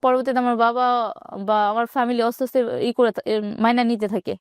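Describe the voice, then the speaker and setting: A young Bangladeshi woman recounts her own life in an interview. Her voice sits a little high and moves quickly, matter-of-fact in delivery. The sound is dry, with no room around it. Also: steady tone, smooth, dry recording. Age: 20s. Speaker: interview guest telling own life story. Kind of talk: personal storytelling in an interview.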